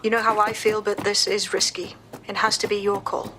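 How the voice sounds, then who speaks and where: A woman's voice: medium pitch, little pitch movement, fairly quick, sharp tone, a little hiss or hum, close to an everyday voice. An actress playing a brash, caring female resistance leader, who talks in a blunt, profane, rallying way. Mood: determined.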